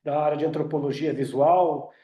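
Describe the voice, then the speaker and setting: Brazilian-accented man, hesitant webinar speaker, mellow slightly high voice, roomy echo. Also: steady tone, normal speed. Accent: Brazilian Portuguese. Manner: hesitant, many fillers and restarts. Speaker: union director speaking on a webinar. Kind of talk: online talk with audience questions. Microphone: computer mic on a video call.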